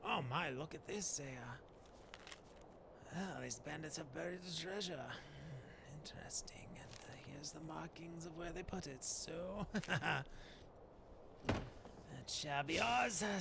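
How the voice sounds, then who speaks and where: A genteel dark elf shaman from a fantasy game, acted by a man, muses to himself in slow, formal, halting phrases. The voice is gravelly and slightly high, pleased with itself, with clear noise behind it. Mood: musing, curious. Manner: musing, halting, chuckling, old-fashioned formal wording. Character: genteel, self-satisfied bearded dark elf shaman adventurer. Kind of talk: voiced roleplay narration of gameplay. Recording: clearly noisy background.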